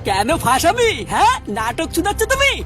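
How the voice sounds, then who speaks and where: A high-pitched, quick-talking cartoon gang leader acted out by a performer with a female-sounding voice. The figure is cocky and blunt, boasting and threatening in comic dialogue. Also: wildly swooping pitch, heavily acted. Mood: menacing. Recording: music behind the voice. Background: music.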